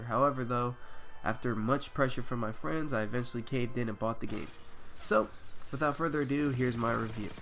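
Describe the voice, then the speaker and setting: A man's voice: mid-range voice, a little hiss or hum. A man with a stuffy head cold, reading a prepared video game review aloud to his viewers with the odd um. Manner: reads a prepared review with filler ums. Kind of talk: scripted review read to camera.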